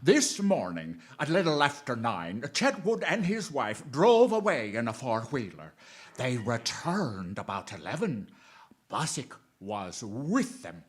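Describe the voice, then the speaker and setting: An actor playing a deferential police inspector who works undercover as a butler, reporting haltingly and respectfully in a radio drama. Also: male voice, mid-range voice, wildly swooping pitch. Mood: dutiful, eager.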